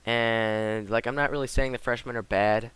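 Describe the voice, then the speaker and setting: A teenage boy giving casual commentary over gameplay, slightly hoarse from a cold, rambling with lots of fillers. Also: mid-range voice, steady tone, normal speed, a bit bright, no echo. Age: teens. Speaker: gaming commentator.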